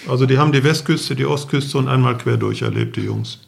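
A middle-aged man speaks with a distinctly creaky, gravelly voice at medium pitch. He is a podcast guest recounting anecdotes in a casual way. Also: fairly quick. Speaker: podcast guest, travelling father.

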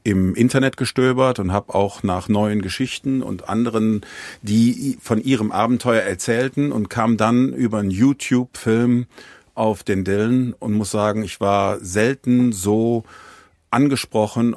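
A man's voice: on the low side, darkish tone, dry recording. A man organizing an event, talking eagerly in long run-on sentences during an interview. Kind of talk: interview conversation. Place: seated at a small table with four people.

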